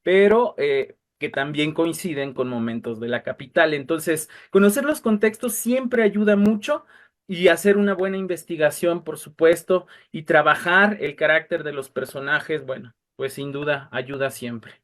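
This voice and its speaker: A man's voice: on the high side, moderate pace. A man explaining his work as a panel guest, hesitant and searching for his words. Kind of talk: explanatory conversation.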